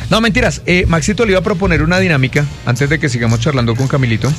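A man's voice: a little high, rapid-fire, a bit bright, soft background music. A man hosting a live radio show, teasing his guests and laughing often. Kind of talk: playful live radio banter.

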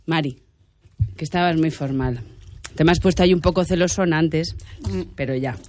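A woman's voice: deep voice, normal speed, darkish tone, a little hiss or hum. A woman hosting a chat, teasing her guests and laughing often. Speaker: host. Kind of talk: lively radio chat.

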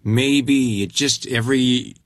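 A man's voice: mid-range voice, steady tone, slow, dry recording. A middle-aged man from Canada, a musician guest chatting casually, rambling and self-deprecating with lots of restarts. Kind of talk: casual interview chat.

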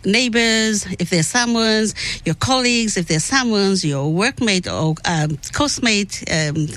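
A woman hosting a radio interview who speaks in a friendly way, often pausing on "uh" and "um". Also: mid-range voice, animated, fairly bright tone, no room sound. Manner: friendly, hesitant phrasing with "uh" and "um". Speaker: radio host.

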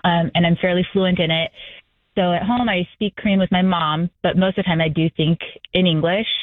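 A woman calling in to a radio show, chatting casually and quickly with light laughs. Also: lowish voice, fairly even intonation. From United States. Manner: talks fast, laughs lightly. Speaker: caller. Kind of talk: casual call-in chat. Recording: tinny phone line.

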